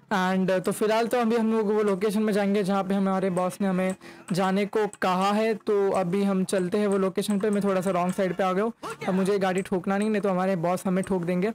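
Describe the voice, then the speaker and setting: High-voiced young man, even-toned game commentary. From India. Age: young adult. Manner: chatty, mixes in English words. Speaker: gaming YouTuber. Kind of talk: casual gameplay commentary. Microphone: desk microphone close to mouth.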